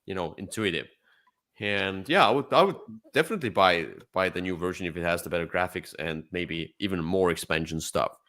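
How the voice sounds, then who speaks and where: A man co-hosting a casual board game discussion, enthusiastic, with halting, repetitive phrasing. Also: low-pitched, sharp tone. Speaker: co-host.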